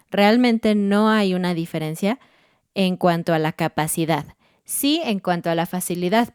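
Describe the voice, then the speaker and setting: A woman co-hosting a podcast, explaining language learning in a clear, steady way. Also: medium pitch, no echo, studio quality. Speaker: podcast co-host. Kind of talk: educational podcast chat.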